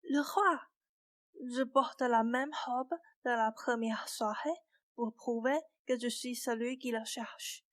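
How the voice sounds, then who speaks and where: A woman playing a gentle, frank young fairy-tale heroine in a student radio play. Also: on the high side, steady tone, slow. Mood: gentle, curious.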